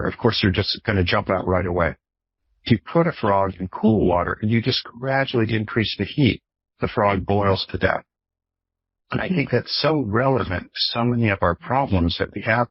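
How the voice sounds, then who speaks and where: Low, husky man, dull recording. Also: dry recording. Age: 50s. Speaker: professor, author and guest expert. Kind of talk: explanatory interview talk with illustrative anecdotes.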